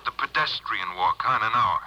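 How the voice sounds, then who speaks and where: An actor playing a shifty, wheedling crook on the run in a 1950s radio crime drama, slangy and evasive. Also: male voice, fairly low pitch, lively intonation, fairly quick, pushed and bright, exaggerated voice. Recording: dull old radio broadcast on cassette.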